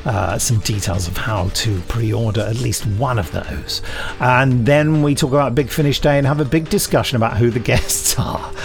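A man's voice: mid-range voice, normal speed, vocal fry, over music. A man hosting a podcast in casual banter, self-mocking and enthusiastic as he introduces audio drama. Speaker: podcast host.

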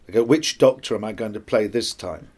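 A man's voice: fairly low pitch, fairly quick, darkish tone. A man talking conversationally in an interview as an actor describing his audiobook work.

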